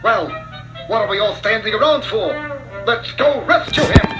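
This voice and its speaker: A man voicing a gruff, scolding older cartoon bear in a storybook, cranky and commanding. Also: high-pitched, expressive, normal speed. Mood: scolding, rallying. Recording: loud music behind. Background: music.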